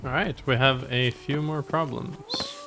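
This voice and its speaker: A man's voice: medium pitch, a little slow. A man streaming a video game with casual, off-the-cuff commentary. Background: game music and horse sounds.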